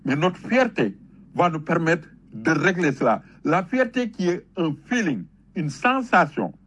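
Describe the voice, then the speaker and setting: Husky, emphatic Senegalese man. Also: fairly high pitch, fairly bright tone. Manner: emphatic, rhetorical, storytelling with repetition. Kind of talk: impassioned patriotic public talk, switching between French and Wolof.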